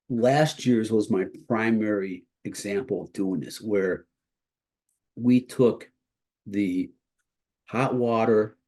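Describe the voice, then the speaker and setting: A man with a lowish, dark voice presents like a college teacher talking through his own practice. He sounds congested and husky, as if his voice is starting to go. His delivery is informal and explanatory, with halting self-corrections. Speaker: college instructor presenting to educators.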